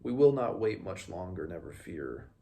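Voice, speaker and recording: A man reading the part of a scornful immortal fey captive from a fantasy novel, measured and disdainful. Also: sits low, big pitch swings, dark tone, a little hiss or hum.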